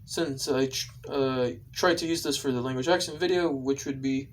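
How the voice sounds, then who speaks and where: Halting man explaining his video. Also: mid-range voice, distant mic. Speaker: video creator. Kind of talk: casual explaining to viewers.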